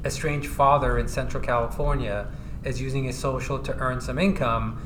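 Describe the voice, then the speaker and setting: Man in his forties, earnest and steady, reverberant room, noticeable background noise. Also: mid-range voice, moderate pace. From Iran. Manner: earnest, steady, with frequent fillers. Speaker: legal aid tax attorney. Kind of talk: conversational interview answers. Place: conference venue.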